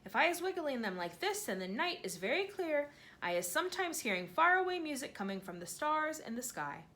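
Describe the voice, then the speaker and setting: A woman voicing a huge, gentle male giant who speaks with jumbled grammar and made-up words, earnest and full of wonder. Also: sits high, very animated, fairly quick, pitched above the performer's own voice, exaggerated voice.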